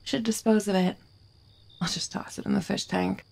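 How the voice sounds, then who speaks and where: A woman playing an obsessive, vengeful murderous writer in an ASMR roleplay, sardonic and menacing, slipping into breathy whispers. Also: medium pitch, fairly even intonation, moderate pace, noisy background. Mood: impatient, contemptuous. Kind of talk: ASMR roleplay, dramatic monologue.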